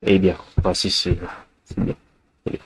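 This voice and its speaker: A man's voice: low voice, little pitch movement, moderate pace, slight background noise, low-quality recording. A man with the flu, giving a screencast coding lesson in a hesitant way, thinking aloud with many fillers while he types. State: has the flu. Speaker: programming tutor.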